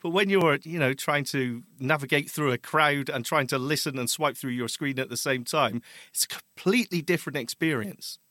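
A man's voice: fairly high pitch, moderate pace, few pauses, pushed and bright, dry recording, studio quality. A man co-hosting a podcast, chatting casually and often chiming in with short agreements. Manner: brief agreeing interjections. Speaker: podcast co-host. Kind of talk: casual chat.

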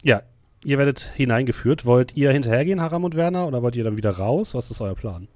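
A man's voice: medium pitch, animated, quick pace, darkish tone, no echo, clean studio sound. A man narrating an improvised tabletop role-playing game as game master.

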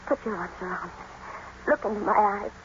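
An actress playing a lovelorn, unhappy young wife, earnest and melodramatic, in 1950s radio drama style. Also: female voice, medium pitch, a little fast, lightly acted. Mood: pleading. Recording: dull old radio broadcast, faint noise.

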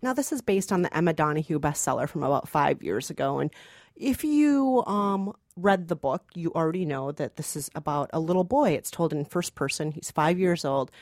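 A woman's voice: deep voice, lively intonation, moderate pace, darkish tone, no echo, studio quality. A woman co-hosting a film review podcast, talking casually with a blunt, emphatic conversational manner. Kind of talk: casual movie review chat.

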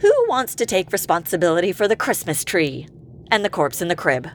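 A woman's voice: fairly low pitch, sing-song, fairly quick, slight background noise. An actress playing an exasperated, sarcastic woman boss in a comedy audio drama, piecing together a fiasco. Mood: sarcastic disbelief.

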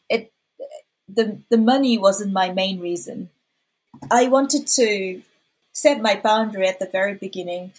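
A woman from Korea with a Korean accent, whose first language is Korean, answering interview questions as a freelance designer, halting with many fillers and restarts. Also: mid-range voice, slightly rough, low-quality recording.

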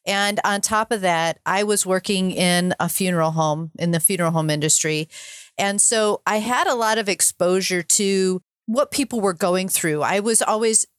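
A middle-aged woman with a calm, soothing, measured way of talking, sharing her personal story as an interview guest. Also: mid-range voice, dry recording, studio quality. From northern climate region. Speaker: podcast guest.

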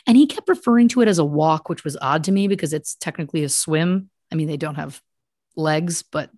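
Woman podcast storyteller, lower-pitched, dry recording. Mock-serious, emphatic, chatty true-crime delivery with asides. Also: a little fast, darkish tone, dry recording. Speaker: podcast host telling a story. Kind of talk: conversational satirical true crime retelling.

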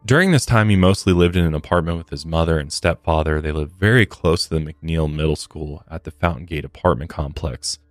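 A man with a low voice co-hosts a true crime podcast. He narrates over music, recorded dry and free of room sound. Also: fairly quick, few pauses, dry recording. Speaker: podcast co-host. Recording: dry close studio sound, music underneath. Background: background music.

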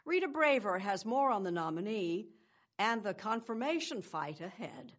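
An older woman hosting a morning news program, reading scripted introductions in a measured, polished way. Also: medium pitch, big pitch swings. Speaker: news show host. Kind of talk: scripted broadcast narration.

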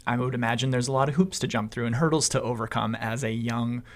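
A man's voice: medium pitch, fairly even intonation, fast. Man, host, conversational, rambling questions, encouraging. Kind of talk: interview questions.

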